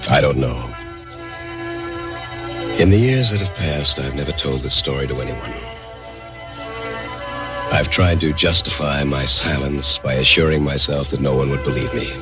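An actor playing a haunted, guilt-ridden man who narrates a radio suspense tale in a brooding, deliberate, formal way. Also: very deep voice. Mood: guilty, brooding. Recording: old radio recording with music.

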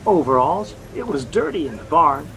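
An actor with an American accent playing a jealous, bumbling young husband, sulky, boastful and sheepishly stammering, in a 1940s radio comedy. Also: male voice, a little high, very animated, moderate pace, pushed and bright, exaggerated voice. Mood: sheepish, evasive. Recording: noisy old-time radio recording.

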